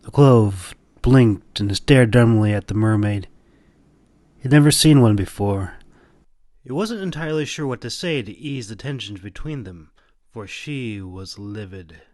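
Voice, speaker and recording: A man narrating a fantasy audiobook in a dramatic, expressive, deliberate storytelling style. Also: on the low side, dry recording, studio quality.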